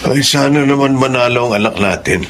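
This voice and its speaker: A man's voice: medium pitch, a little fast, noisy background, lightly acted. A man playing a concerned, devout middle-aged father in a Filipino radio drama. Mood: hopeful, concerned.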